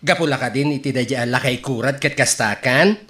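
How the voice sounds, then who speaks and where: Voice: mid-range voice, fairly quick, a bit bright, natural voice. A performer playing a gossipy, meddling friend in an Ilocano radio comedy drama, with an Ilocano accent, drawling and tossing in English interjections. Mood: nagging, warning.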